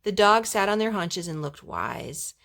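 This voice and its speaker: A woman reading a children's story aloud in an expressive, animated way, with gentle asides to young listeners. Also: medium pitch, unhurried.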